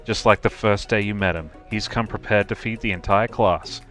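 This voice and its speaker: A man's voice: on the low side, normal speed, a bit bright, soft background music. A man narrating a comic game as a streamer, reading scripted lines in a theatrical, playful way.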